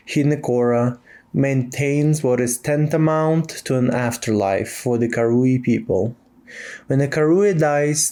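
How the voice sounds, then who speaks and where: A man playing a solemn lorekeeper, narrating fantasy game lore in a measured manner. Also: mid-range voice, mellow, natural voice.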